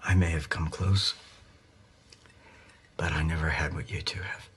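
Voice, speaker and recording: A regretful man, very deep, flat and slow, soft music behind. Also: slight background noise. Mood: wistful. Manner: soft, half-whispered.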